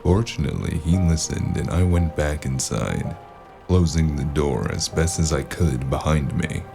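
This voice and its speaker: Hard-boiled noir narrator, really low and gravelly, weary, music behind. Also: male voice, animated, measured pace, full character voice. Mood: brooding. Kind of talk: first-person noir narration.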